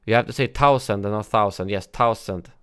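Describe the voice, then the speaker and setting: A man's voice: fairly low pitch, brisk, a bit bright, clean tone. A man hosting a gaming news livestream, chatting casually and answering viewers' chat with frequent fillers and self-corrections.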